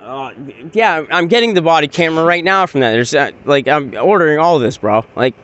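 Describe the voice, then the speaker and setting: A man's voice: a little high, lively intonation, brisk, fairly bright tone, muffled recording. A man in his forties filming police on a street at night, arguing in an insistent, repetitive, rambling way. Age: 40s. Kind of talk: heated street argument.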